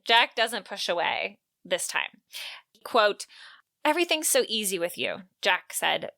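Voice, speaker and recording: A woman co-hosting a podcast, recapping a book and reading out quotes with measured, wry storytelling. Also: fairly high pitch, pushed and bright, smooth, no room sound. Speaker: podcast co-host.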